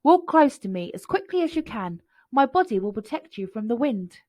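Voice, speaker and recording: Storybook role: huge protective bear, reassuring big brother, played by a woman, higher-pitched and animated. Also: moderate pace, no echo, clean studio sound. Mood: urgent, protective. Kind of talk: children's storybook character voice.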